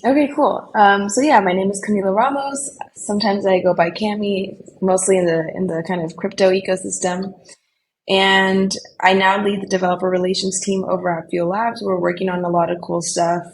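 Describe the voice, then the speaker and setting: A woman's voice: medium pitch, fairly even intonation, normal speed, a little hiss or hum, poor audio. A young woman from California talking casually as a podcast guest, with lots of "like" and "you know". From Colombia, raised in California Bay Area. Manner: chatty, frequent "like" and "you know". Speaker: podcast guest, developer relations lead. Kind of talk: casual interview chat.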